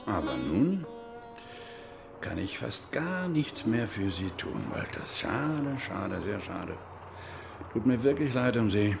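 A man's voice: lowish voice, big pitch swings, slow, creaky, over music, exaggerated voice. An actor playing a middle-aged army major and father in a radio crime drama, talking in a paternal yet menacing way with probing little tag questions. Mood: wistful, disappointed.

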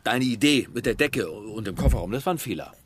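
An actor playing a self-righteous, insistent male politician who gets flustered and halting, in a naturalistic radio crime drama. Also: on the low side, lively intonation, husky. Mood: agitated.

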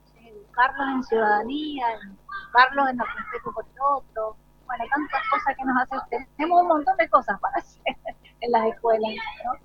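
An Argentine woman with a somewhat high voice answers interview questions as a school director. She explains things earnestly and spontaneously. The live radio recording is slightly dull and lo-fi. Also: animated, normal speed, breathy edge, no room sound.

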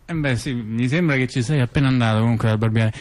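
A man's voice: mid-range voice, fast talker. Chatty man telling a story. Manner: chatty, digressive, full of asides and fillers. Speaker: theater performer. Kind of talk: theatrical storytelling dialogue on radio.

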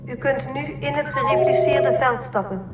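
An actress playing a composed female operator who briefs a commander in a formal, measured way, in a radio play. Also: sits high, loud music behind the voice, close to an everyday voice. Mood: calm, instructive. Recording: muffled narrowband audio, echoey, clear noise.

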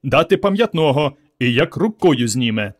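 A man's voice: medium pitch, expressive, moderate pace, natural voice. A man voicing gossipy village neighbours in a story, calling out over fences. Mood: nosy, surprised.

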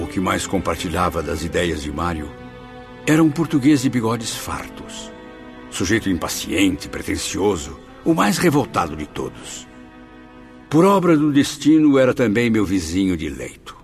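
Gravelly, expressive spirit narrator. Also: male voice, lowish voice. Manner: dramatic, expressive storytelling. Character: wry, observant suffering spirit recounting his companions' stories. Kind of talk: radio drama narration and dialogue.

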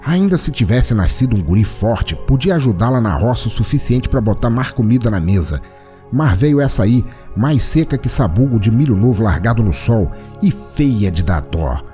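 A man's voice: mid-range voice, sing-song, normal speed, dark tone, soft background music, natural voice. A man voicing a bitter, poor farmer father in an audio short story, blunt and complaining, with speech. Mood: scornful, bitter. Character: bitter, harsh poor farmer father, scornful of his family.

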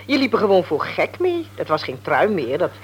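An actress playing a brisk, firm middle-aged mother in a radio play, teasing and matter-of-fact. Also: on the low side, wildly swooping pitch, husky, full character voice. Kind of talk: Dutch radio play acting.

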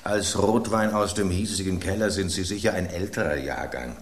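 An actor playing a gossiping loaf of bread that spreads dramatic kitchen rumours in a radio play. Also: male voice, low voice, moderate pace, reverberant, lightly acted. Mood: gossipy.

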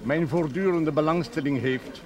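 A man's voice: a little high, moderate pace, a loud crowd, natural voice. An actor playing a formal village parish priest, proud of his band, in a television drama. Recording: loud band music behind. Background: brass band music.

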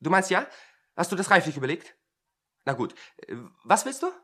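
An actor playing a flustered, indecisive company director in a radio play, husky, hesitant and easily exasperated. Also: male voice, high voice, very animated, brisk, fairly bright tone, full character voice. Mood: agitated. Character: flustered, indecisive male company director, pondering his engagement.

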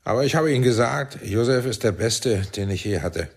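An actor playing a commanding, sardonic older intelligence chief in a radio drama, probing and deliberate. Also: male voice, mid-range voice, lively intonation, husky. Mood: paternal, sly. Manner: deliberate, probing, swinging from soft to barking. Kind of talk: German radio drama acting.

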